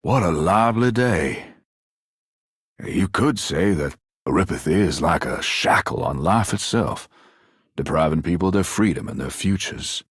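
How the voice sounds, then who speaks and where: A grave, scholarly gentleman in a video game. His voice is deep and husky, recorded dry and close. He speaks formally and reflectively, with quiet hope. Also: animated, unhurried, dry recording, full character voice. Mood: thoughtful, hopeful. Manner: formal, contemplative. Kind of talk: game character voice acting.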